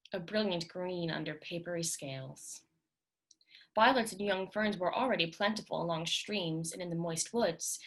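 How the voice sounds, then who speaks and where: A woman's voice: on the low side, gravelly, a bit bright. A woman giving a dramatic reading of literary fiction as scripted narration.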